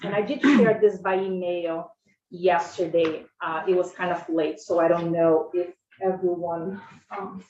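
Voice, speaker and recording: A woman's voice: fairly low pitch, fairly even intonation, a little slow, a little mellow, slight background noise. A woman from Brazil with a Brazilian Portuguese accent, testifying informally and earnestly as a school food service director. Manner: informal, self-correcting, earnest. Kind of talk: committee testimony. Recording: echoey, muffled hearing room audio.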